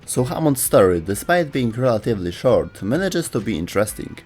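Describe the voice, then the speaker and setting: A man's voice: medium pitch, sing-song, a little fast, a little mellow, breathy edge, faint music behind. A man hosting and narrating a scripted gaming lore video.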